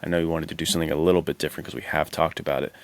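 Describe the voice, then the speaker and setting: A man co-hosting a podcast, chatting casually about films in an informal, halting, discursive way. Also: rumbling low, level tone, creaky. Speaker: podcast co-host.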